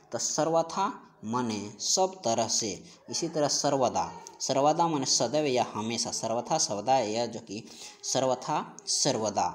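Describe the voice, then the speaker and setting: A man's voice: medium pitch, slight background noise. A man teaches in a question-and-answer style, explaining and restating each answer. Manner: repetitive, explanatory, stressing answers by restating them. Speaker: Hindi exam-prep teacher. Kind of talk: question-and-answer grammar lesson.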